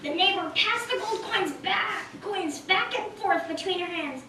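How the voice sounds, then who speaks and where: Very high-voiced woman narrating for children. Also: unhurried, sharp tone. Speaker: narrator of a puppet show. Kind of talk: children's storytelling, scripted narration. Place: community puppet show in roomy space. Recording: echoey room, distant mic, clear noise.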